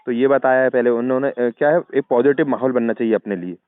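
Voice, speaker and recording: A man's voice: mid-range voice, quick pace, slight background noise. A man with a central Indian Hindi accent explains things persuasively on a phone call, often checking that the listener agrees. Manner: persuasive, checks agreement with frequent tag questions. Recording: muffled, tinny phone-call recording.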